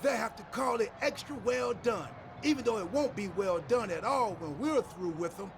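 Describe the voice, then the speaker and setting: A fanatically loyal, goofy pro wrestler in a game cutscene. His very high, creaky voice rambles on with boastful, excitable zeal. There is clear noise behind him. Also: moderate pace. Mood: manic, excited. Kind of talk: video game cutscene acting. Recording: clearly noisy background.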